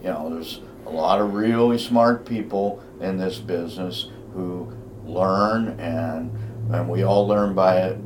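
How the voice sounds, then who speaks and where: A man with a loud voice speaking bluntly and emphatically as an interview guest, repeating himself for emphasis. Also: sits low, slow, a little hiss or hum. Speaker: guest, investment newsletter writer. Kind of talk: conversational interview about investing.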